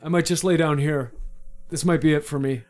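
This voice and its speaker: A man's voice: fairly high pitch, a little fast, lightly acted. A man playing a drunken, dramatic knight whose grand, pompous phrasing keeps slipping into casual slang.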